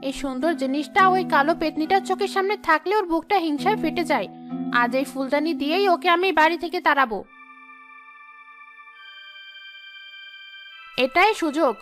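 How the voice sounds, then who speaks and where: A woman's voice: high-pitched, fast talker, fairly bright tone, soft background music. A woman playing a vain, spiteful young sister-in-law in a melodramatic cartoon, haughty and taunting. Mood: scheming. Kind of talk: melodramatic cartoon story dialogue.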